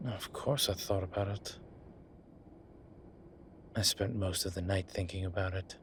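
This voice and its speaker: A man playing a polite, flirtatious incubus demon in an intimate roleplay audio drama, with hesitant, formal phrasing and a softness that turns breathy at times. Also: sits low, fairly even intonation, measured pace, slight background noise. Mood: flirtatious, teasing. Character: courteous, flirtatious male incubus demon, restrained and apologetic.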